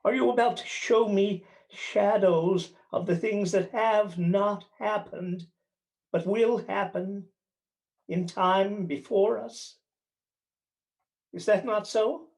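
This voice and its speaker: A humbled old miser pleads in a radio drama. His voice is high for a man and drags slowly, with halting, theatrical dread. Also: fairly even intonation, gravelly, darkish tone, exaggerated voice. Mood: dread, pleading. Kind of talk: radio play acting.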